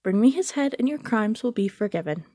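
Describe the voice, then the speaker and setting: A woman reading as a cruel sorceress queen in a storybook reading, haughty and mocking as she gives orders. The voice is dark and mellow and sits close and dry, with no room around it. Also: medium pitch, animated, a little fast, husky, no room sound. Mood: imperious.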